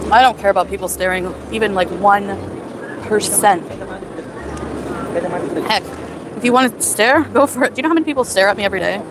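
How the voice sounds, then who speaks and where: A woman's voice: medium pitch, noisy background. A woman in her thirties livestreaming on her phone at an outdoor night market, chatting casually and reading out viewers' names between bites. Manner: chatty, reads chat names aloud while eating. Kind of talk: casual live stream chat. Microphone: phone at arm's length. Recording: outdoor phone stream with loud background music.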